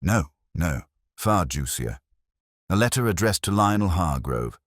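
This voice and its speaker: A man's voice: low-pitched, big pitch swings, unhurried, no room sound, studio quality, heavily acted. A man voicing a smug, prim village woman who relishes gossip, in an audiobook mystery. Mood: gossipy.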